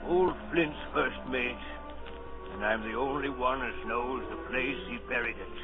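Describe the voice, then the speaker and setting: An actor playing an ailing, fearful old sea captain in a radio drama, with rough sailor talk and pleading bluster. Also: male voice, medium pitch, measured pace, lightly acted. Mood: grim, confiding. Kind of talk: 1930s radio acting. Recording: dull old radio recording with music.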